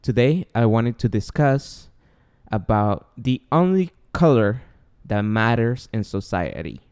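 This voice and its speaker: A man's voice: mid-range voice, sing-song, a little slow, darkish tone, clear, resonant tone. A man from Guatemala with a Guatemalan Spanish accent, hosting a casual solo podcast in a rambling, self-deprecating way with many fillers. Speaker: podcast host.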